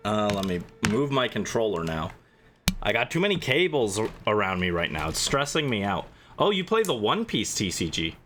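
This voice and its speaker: A man's voice: fairly low pitch, very animated, moderate pace, noisy background. A man hosting a gaming livestream, chatting casually and playfully as he responds to his viewers. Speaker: livestream host.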